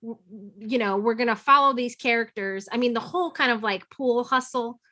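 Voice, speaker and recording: Bright, higher-pitched enthusiastic woman. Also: a little fast. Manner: enthusiastic, informal. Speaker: podcast co-host. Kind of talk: casual film discussion.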